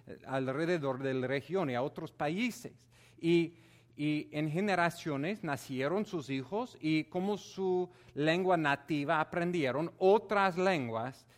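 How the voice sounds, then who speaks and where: A man preaching a Bible teaching sermon in a conversational, animated way, with frequent hesitations. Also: fairly high pitch.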